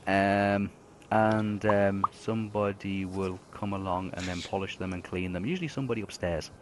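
Low-voiced man running a fantasy game. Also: fairly even intonation, noisy background. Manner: rambling, halting with many fillers. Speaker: game master. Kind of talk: narrating a fantasy tabletop game. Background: music.

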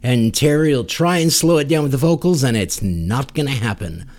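A man's voice: medium pitch, lively intonation, moderate pace, a little mellow. A man hosting a music podcast, introducing songs with casual, chatty commentary and a fan's informal enthusiasm.

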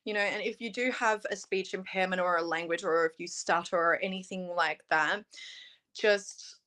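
A woman's voice: fairly low pitch, fairly even intonation, normal speed, bright, forceful tone. A young woman from Australia, a guest in a casual interview, talking in an earnest, chatty way full of "you know" and "um". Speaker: interview guest, pageant titleholder.

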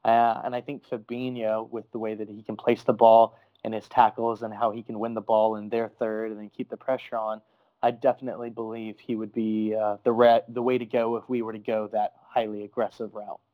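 A man giving steady, deliberate opinions in a casual football podcast chat. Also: on the low side, no echo, highs cut off. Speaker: podcast panelist.

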